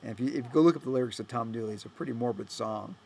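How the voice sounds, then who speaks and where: A man giving a casual, unscripted director's commentary as a filmmaker, rambling and halting as he goes, often trailing off. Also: mid-range voice, vocal fry, darkish tone, slight background noise. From United States.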